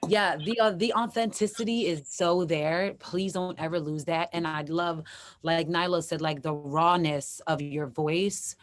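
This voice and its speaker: A woman hosting an online open mic, raspy voice, gushing casually with many restarts. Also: fairly low pitch, fairly even intonation, unhurried. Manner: effusive, chatty with many restarts. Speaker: host. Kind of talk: casual chat.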